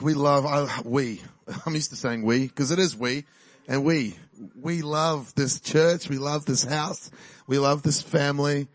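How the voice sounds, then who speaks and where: A man's voice: mid-range voice, dry recording. A man in his forties with a New Zealand accent, a guest preacher giving a chatty, exuberant sermon and personal testimony in a church, often laughing and rallying the crowd.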